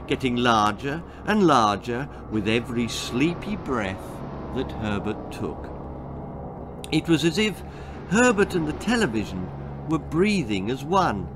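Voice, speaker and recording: Animated British man telling a dramatic story. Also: mid-range voice, noisy background. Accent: British English. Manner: theatrical, deliberate, suspenseful. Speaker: author reading own story. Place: small book-lined room indoors.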